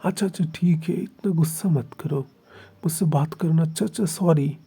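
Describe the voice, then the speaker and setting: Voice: medium pitch, normal speed, mellow, husky. A storyteller voicing a young man who pleads with and then confronts his partner. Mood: coaxing. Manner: coaxing, then hurt and intense. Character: young man, doting then possessive lover.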